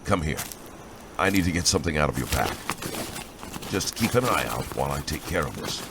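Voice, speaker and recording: A man's voice: very deep voice, sing-song, brisk, full character voice. A man playing a commanding, protective older fighter in a fantasy audio drama, giving terse orders. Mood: grim, controlled. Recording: clear background noise of outdoor ambience.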